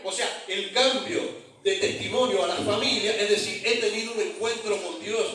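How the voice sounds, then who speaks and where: Argentine male pastor, high-pitched, preaching from afar in a very echoey church hall. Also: fairly even intonation, moderate pace, sharp tone, recorded from a distance. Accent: Argentine Spanish. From Argentina (San Juan). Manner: storytelling with call-and-response to the congregation. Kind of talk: sermon.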